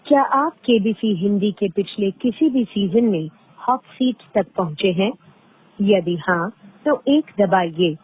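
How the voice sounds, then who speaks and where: A woman reading scripted automated phone prompts in a formal, measured way with deliberate pauses, heard over a recorded phone call. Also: mid-range voice, animated, breathy edge. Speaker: automated phone line announcer. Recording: tinny band-limited phone line recording.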